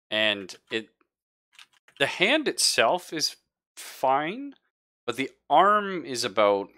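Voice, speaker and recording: A man with a deep, crisp-edged voice acts as game master. His pitch moves around a lot as he improvises scenes casually, halting to find the next detail. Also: pushed and bright. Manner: halting, casual, pausing to think mid-sentence. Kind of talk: improvised narration of a tabletop roleplaying game.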